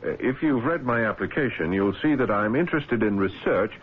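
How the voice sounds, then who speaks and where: An actor playing an earnest young ship's doctor in a radio drama, polite, formal and self-assured. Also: male voice, on the low side, lively intonation, a little fast, husky. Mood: polite, eager. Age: young adult. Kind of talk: old-time radio drama acting. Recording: tinny, narrow-band old radio broadcast.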